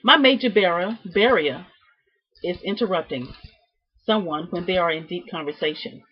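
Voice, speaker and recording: A woman giving a prepared student presentation, reading from notes with deliberate, emphatic phrasing and halting repeats. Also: fairly low pitch, husky. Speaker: student presenting a class project. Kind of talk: prepared speech, read from notes. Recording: dull, muffled lo-fi sound.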